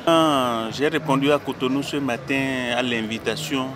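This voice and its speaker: A man with a Beninese French accent gives formal, measured interview answers as an association president, often pausing mid-phrase. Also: medium pitch, over music. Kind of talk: interview answers, explaining a project. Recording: noisy outdoor urban recording, traffic behind.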